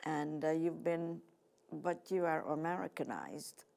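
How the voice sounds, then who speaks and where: A woman with a Hungarian accent hosts a talk show in a chatty, gushing way. Her voice is very low for a woman and carries a gravelly fry. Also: a little slow, a little mellow. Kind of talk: casual interview chat.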